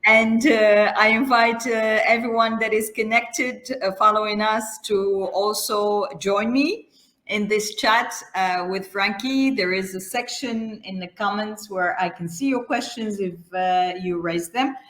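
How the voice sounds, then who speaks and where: A woman hosting a semi-formal online interview, speaking haltingly with frequent hesitant fillers. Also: medium pitch, steady tone, fairly bright tone. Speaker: interview host. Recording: roomy, echoing sound.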